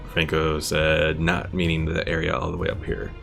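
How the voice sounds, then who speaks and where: Guy with a deep voice doing chill game stream commentary, some music behind him. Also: steady tone, moderate pace, vocal fry, a bit bright. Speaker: livestreamer, host of a gaming stream. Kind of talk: casual strategy game commentary, chatting with viewers.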